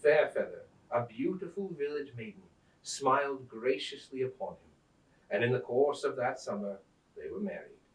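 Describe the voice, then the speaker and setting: A man reading an old tale aloud as a storyteller, in a theatrical, deliberate manner. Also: medium pitch, expressive. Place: indoors at a museum. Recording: roomy echo, distant microphone.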